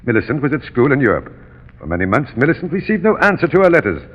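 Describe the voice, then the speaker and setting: Genteel, sweeping-voiced older uncle. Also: male voice, mid-range voice, very animated, quick pace, breathy edge. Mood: grave, explanatory. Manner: formal, unctuous, theatrical. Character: genteel, controlling older uncle of a gloomy castle. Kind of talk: 1930s radio melodrama acting. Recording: dull, echoing 1930s radio recording.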